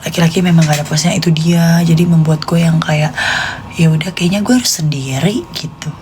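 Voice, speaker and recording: Low-pitched young Jakartan woman chatting. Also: fairly even intonation, fairly quick, a little mellow, a little hiss or hum. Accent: Jakartan Indonesian. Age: late 20s. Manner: informal, slangy, confiding. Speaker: live streamer. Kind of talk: casual chat to live stream viewers.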